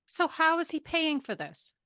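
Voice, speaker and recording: Animated woman podcast co-host, quick reactions. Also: sits high, no room sound, studio quality. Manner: quick reactions, laughs along. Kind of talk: casual podcast chat.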